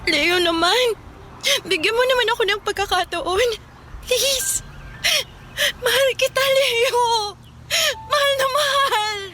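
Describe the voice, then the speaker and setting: A woman's voice: very high-pitched, takes it slowly, long pauses, pushed and bright, slight background noise, heavily acted. A Filipino actress playing a jealous, lovesick, hot-tempered young woman in an emotional radio drama. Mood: heartbroken. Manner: emotional, pleading, melodramatic.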